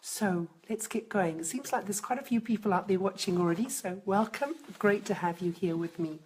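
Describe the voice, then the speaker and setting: A middle-aged woman, a parenting coach, talking live to viewers on a stream, hoarse and croaky from a cold, chatty and reflective. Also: mid-range voice, moderate pace, darkish tone, slight background noise.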